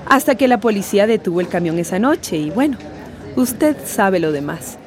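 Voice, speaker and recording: A woman's voice: mid-range voice, lively intonation, moderate pace, a faint crowd, slight background noise, lightly acted. A woman acting a weary, plain-spoken working-class migrant in a radio drama, telling of her ordeal. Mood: weary, sad. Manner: plain-spoken, colloquial storytelling.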